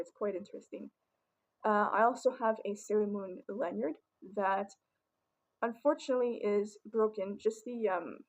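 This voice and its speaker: Young woman vlogger, raspy, chatty and rambling, average pitch. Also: darkish tone, low-quality recording. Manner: chatty, rambling, many ums and restarts. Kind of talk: casual collection show-and-tell.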